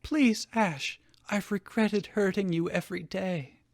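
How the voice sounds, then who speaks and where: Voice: medium pitch, measured pace, voice pushed up, lightly acted. A performer voicing a regretful middle-aged mother, pleading and apologetic, in a fan fiction reading.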